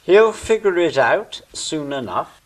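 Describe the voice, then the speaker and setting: A man's voice: a little high, big pitch swings, slow, rough recording. A man playing an earnest, skeptical angel in an audio drama, questioning and chiding.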